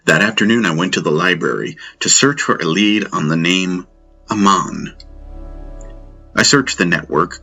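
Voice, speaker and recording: A man with a low, bright-edged voice narrates a fantasy audiobook. He reads scripted first-person prose, and music plays behind him.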